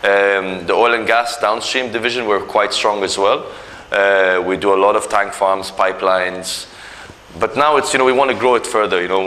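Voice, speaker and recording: A man's voice: low voice, pushed and bright, slight background noise. A man from Nigeria, a company executive speaking earnestly in an interview, with many fillers and restarts. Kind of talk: corporate interview talk.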